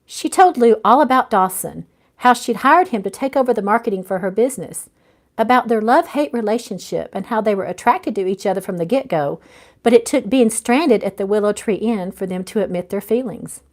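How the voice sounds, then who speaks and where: A woman's voice: medium pitch, animated, fairly quick. A woman author reading their own romance novel aloud in a storytelling narration.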